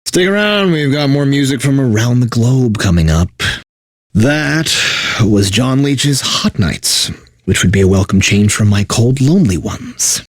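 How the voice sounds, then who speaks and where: Bitter radio DJ, wildly swooping delivery. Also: male voice, mid-range voice, lively intonation, a little slow, dry recording, clean studio sound. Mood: weary, sardonic. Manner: exaggerated announcer delivery with sardonic, exasperated asides. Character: bitter, burnt-out male corporate radio DJ, sarcastic and despairing. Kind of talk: radio DJ links with sarcastic asides.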